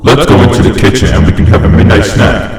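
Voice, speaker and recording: A man voicing a possessive living stuffed toy that talks with slow, falsely friendly menace in a horror story reading. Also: low-pitched, animated, voice pushed down. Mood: coaxing. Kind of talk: horror fan fiction reading. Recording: heavy cavernous echo and long reverb.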